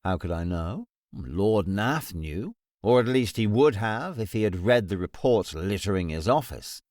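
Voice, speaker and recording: Cold all-knowing ruler for fantasy audiobook , male actor: cutting, deliberate, formal, widely varied intonation, close dry sound. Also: lowish voice, animated, a little mellow, dry recording. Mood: coldly accusing. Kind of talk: full-cast fantasy audiobook acting.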